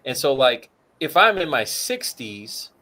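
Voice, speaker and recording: A man's voice: medium pitch, big pitch swings, unhurried, sharp tone. A man co-hosting a talk show, casually walking through retirement numbers with plenty of "you know" fillers. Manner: works through figures aloud, many fillers. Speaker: co-host.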